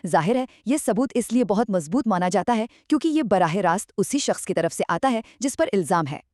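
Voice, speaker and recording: A woman's voice: mid-range voice, animated, fairly quick, darkish tone, no echo, studio quality. A woman giving scripted educational narration in a structured, explanatory manner.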